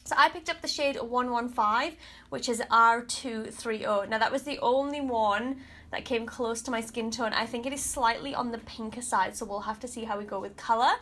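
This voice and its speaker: A woman's voice: fairly high pitch, moderate pace, a bit bright. A woman in her thirties with an Australian accent, sounding stuffy and congested, chatting frankly through a makeup review at her home vanity. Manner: chatty, frank, often hedging with kind of and like. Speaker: beauty vlogger. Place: home bedroom vanity with mirror lights, indoors.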